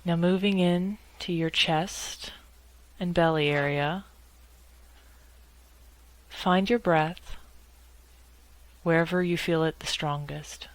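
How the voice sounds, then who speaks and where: A woman guiding a meditation in a measured, instructional way, speaking in short phrases with pauses between them. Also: lowish voice, fairly even intonation, no room sound, poor audio. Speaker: guided meditation leader. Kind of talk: guided meditation narration.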